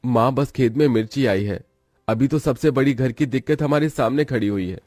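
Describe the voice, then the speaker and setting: A man's voice: medium pitch, moderate pace, a little mellow, dry recording, clean studio sound, close to an everyday voice. A man voicing a poor, earnest village farmer and family man in a cartoon story. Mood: worried.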